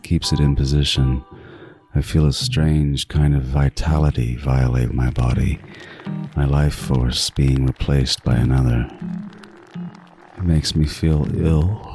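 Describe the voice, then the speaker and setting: An extremely deep male voice , acted by a man, tells a horror tale as a sardonic serial killer. He is profane and dramatic, a wisecracker with panic under the bravado. Music plays clearly behind him. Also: mellow, exaggerated voice. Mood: dazed, awed. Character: meticulous male serial killer narrating his hunt, crude, sardonic, panicking. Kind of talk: first-person horror audio drama narration.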